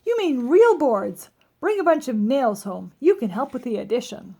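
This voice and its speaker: A woman's voice: high-pitched, lively intonation, normal speed, warm tone, slightly rough, full character voice. A woman voicing a cheerful, earnest, literal-minded housekeeper and babysitter from a children's storybook.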